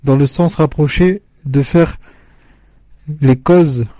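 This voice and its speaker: Mellow man reading questions aloud. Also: on the high side, no room sound. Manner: measured, formal reading. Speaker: question reader. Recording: dull dry lo-fi recording.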